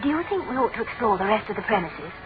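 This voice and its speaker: A woman's voice: medium pitch, sing-song, quick pace, over music, lightly acted. A woman playing a witty, tart detective's secretary in a British radio drama, with a proper, dry manner. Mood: wary. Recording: tinny phone-like radio recording.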